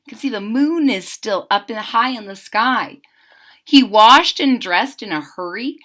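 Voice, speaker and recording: A woman reading a children's picture book aloud, expressive, adding chatty asides for young listeners. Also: fairly high pitch, unhurried. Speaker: storybook reader.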